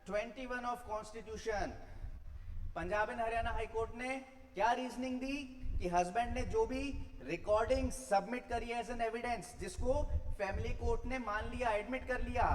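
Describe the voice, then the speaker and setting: A man's voice: very high-pitched, a little slow. A man with an Indian accent giving an explanatory law lecture, mixing Hindi and English and putting rhetorical questions to students. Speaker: law teacher. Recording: long hall reverb.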